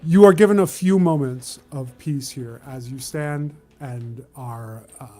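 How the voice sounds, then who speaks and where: Slow-paced man narrating as game master. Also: medium pitch, a little mellow. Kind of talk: narrating a tabletop roleplaying game.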